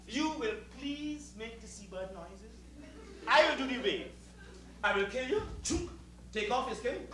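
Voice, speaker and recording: An actor with a Caribbean accent playing a proud, sardonic servant who bosses like a director in a stage comedy, mock-polite and emphatic. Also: male voice, high-pitched, fairly bright tone. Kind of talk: live satirical stage comedy acting. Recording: echoey far-off stage recording, humming noise.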